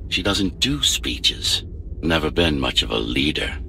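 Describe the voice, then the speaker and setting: Very deep, gravelly deposed ruler. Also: male voice, big pitch swings, a little hiss or hum, full character voice. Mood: scornful. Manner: measured, lecturing, imperious. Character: deposed tower ruler, bodiless digital mind, bitter, calculating. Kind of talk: dramatic video game acting. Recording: loud electronic music behind.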